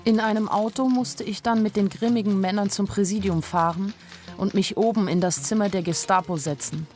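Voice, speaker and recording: A woman's voice: mid-range voice, steady tone, normal speed, a little mellow, lightly acted. An actress playing a naive young woman who tells her story candidly and wryly in a radio play. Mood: wry narrating. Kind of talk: radio play first-person narration.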